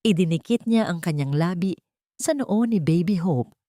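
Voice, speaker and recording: Female narrator for scripted drama, lower register, very little room sound. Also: animated, moderate pace, mellow, dry recording, studio quality.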